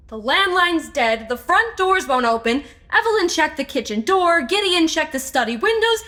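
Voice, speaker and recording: An actress playing a practical, take-charge amateur sleuth in an audio drama, speaking directly with dry wit. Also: female voice, sits high, lively intonation, a little slow, bright, forceful tone, exaggerated voice. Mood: focused, urgent.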